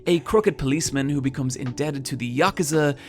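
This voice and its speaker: Gravelly man narrating a video essay. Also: mid-range voice, fairly quick. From Britain. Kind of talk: scripted narration. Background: soft background music.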